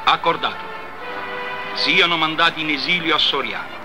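An actor playing a stern imperial official in a religious cartoon, formal and commanding. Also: male voice, a little high, moderate pace, over music, lightly acted. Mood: cold command.

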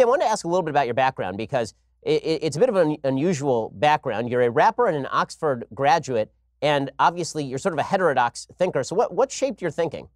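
A man hosting a studio interview, talking quickly with a wry, sarcastic edge. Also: medium pitch, dry recording. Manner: rapid-fire, wry, sarcastic. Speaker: host. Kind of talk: fast, joking interview hosting.

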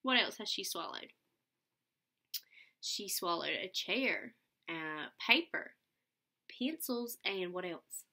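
A woman's voice: mid-range voice, lively intonation, takes it slowly, long pauses, sharp tone. A young adult woman reading a picture book aloud to children, explaining simply and putting questions to her listeners. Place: small furnished room at home, indoors. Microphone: computer webcam at about arm's length.

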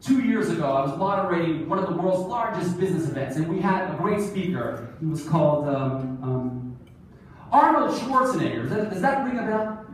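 A man in his forties telling stories as a keynote speaker on stage, lively, asking the audience questions. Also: high voice, normal speed, slight background noise. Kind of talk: storytelling keynote speech. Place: large conference hall, on stage. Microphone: phone camera far from the stage. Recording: echoey hall recorded from far off.

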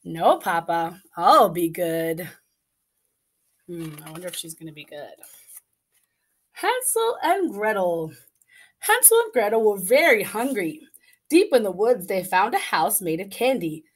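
A woman's voice: mid-range voice, big pitch swings, moderate pace. A middle-aged woman reading a picture book aloud expressively for children, in a small office. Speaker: elementary school staff member reading aloud. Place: small indoor office with bookshelves.

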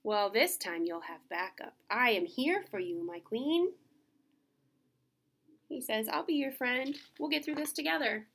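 A woman's voice: a little high, measured pace. A woman doing a loyal, encouraging horse friend. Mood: reassuring. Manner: warm, encouraging. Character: loyal, kind male horse, devoted best friend.

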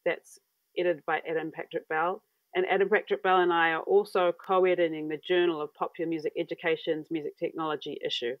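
A woman in her thirties from New Zealand giving a conference talk over a video call from a room at home, relaxed and searching for words with many fillers. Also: sits low, brisk, no room sound. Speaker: academic speaker. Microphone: earphone mic on video call. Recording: dry, dull video-call audio.

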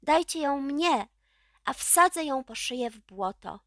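A woman voicing vengeful witches of a coven, loud, shrill and insistent, in a children's storybook. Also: lively intonation, measured pace. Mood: vicious. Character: clamoring, vengeful coven witches demanding punishment.

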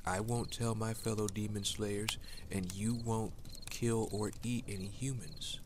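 A man playing a tender, uneasy demon slayer in a secret romance, speaking softly and hesitantly in an intimate ASMR roleplay. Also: lowish voice, steady tone, a little slow, lightly acted. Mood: hesitant, worried. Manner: soft, hesitant, affectionate. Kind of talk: ASMR roleplay, intimate one-sided dialogue. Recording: clear noise of outdoor night ambience, crickets. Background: crickets and night nature ambience.

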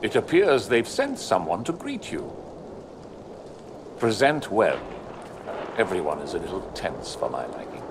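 Pompous, wildly swooping noble lord. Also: male voice, deep voice, big pitch swings, measured pace, long pauses, background noise, exaggerated voice. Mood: conspiratorial. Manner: theatrical, haughty, ingratiating. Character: pompous, fussy noble lord, a gossiping courtier. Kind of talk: dramatic video game dialogue.

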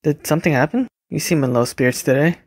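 A man plays a polite, understated young man in an audio drama. He talks briskly with some fry in his voice, recorded close and dry. He comes across as reserved and caring. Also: fairly high pitch, a little mellow, no echo. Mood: gently caring. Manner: courteous, understated.

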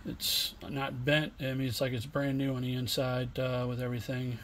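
A middle-aged man giving a casual, unscripted product demonstration in a plainspoken, halting way. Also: mid-range voice, monotone, fairly bright tone. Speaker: online seller demonstrating used radio equipment. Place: indoor home workshop bench. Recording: room noise, homemade camera recording.

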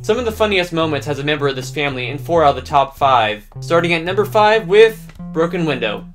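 A man's voice: fairly high pitch, lively intonation, fast talker, faint music behind. A young adult man hosting a countdown review video in scripted narration.

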